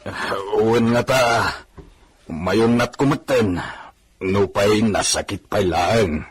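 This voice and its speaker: A man's voice: fairly low pitch, slow, slightly rough. A man playing a cheeky, doubting younger villager who needles with questions in an Ilocano comedy radio drama. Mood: grumbling.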